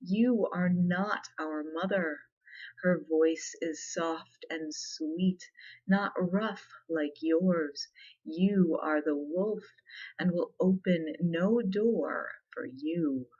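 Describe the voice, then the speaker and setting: Little storybook goslings , voiced by a woman speak earnestly and cautiously in a soft ASMR hush. The words come very slowly, on a slightly muffled recording. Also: lowish voice, breathy edge, heavily acted. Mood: wary. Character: little young goslings, obedient, wary storybook creatures.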